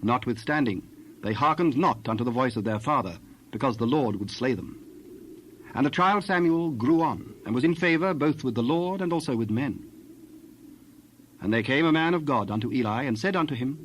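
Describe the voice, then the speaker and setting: A man narrating scripture from a script in a measured, formal way. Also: mid-range voice, animated, slight background noise, poor audio.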